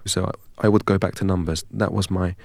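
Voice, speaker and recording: A man from England, a guest in an interview, telling his story in a measured, deliberate way. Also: low-pitched, fairly even intonation, gravelly, darkish tone, no echo. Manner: measured and deliberate, recites long strings of numbers evenly.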